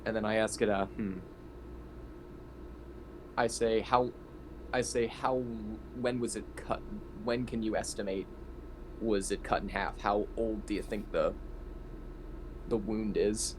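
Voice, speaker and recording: Lowish-voiced man in a noisy game session. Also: fairly even intonation, a little slow, long pauses. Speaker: tabletop game player. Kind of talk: casual in-game talk. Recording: clearly noisy hobby game recording.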